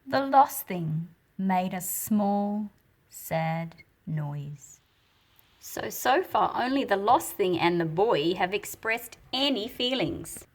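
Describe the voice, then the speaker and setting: Expressive, unhurried woman reading a picture book. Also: mid-range voice. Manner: expressive, explanatory, deliberate phrasing. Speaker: picture book read-aloud host. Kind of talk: storybook read-aloud with teaching commentary.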